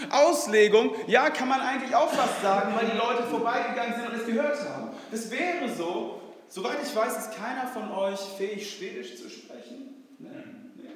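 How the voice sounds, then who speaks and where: High-voiced preacher in an echoey hall. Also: male voice, fairly bright tone. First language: Swedish. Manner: chatty, many fillers and tag questions. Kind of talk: informal sermon. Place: church hall. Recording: roomy hall reverb.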